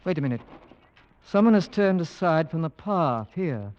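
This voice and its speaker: A man playing a brisk, skeptical English gentleman with an English accent, clipped and debonair, in an old radio drama. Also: on the high side, lively intonation, muffled recording, natural voice. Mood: keen, intent. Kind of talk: 1940s-50s radio drama acting.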